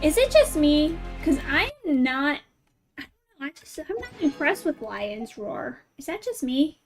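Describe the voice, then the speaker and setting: High-pitched woman livestreaming a game. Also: sing-song, unhurried, soft background music. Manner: informal, thinking aloud, asking viewers questions. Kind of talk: casual livestream chat with viewers while playing.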